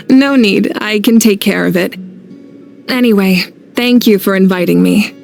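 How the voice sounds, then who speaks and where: A woman playing a composed, gracious and humble nation leader in an anime fantasy game, speaking in a measured way. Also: mid-range voice, expressive, vocal fry. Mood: warm. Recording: faint background game music.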